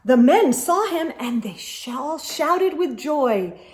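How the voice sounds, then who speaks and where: Woman, higher voice, slow pace, expressive children's storybook reading, emphatic, addresses young listeners. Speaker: storyteller host of a children's read-aloud channel. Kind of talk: children's storybook read-aloud.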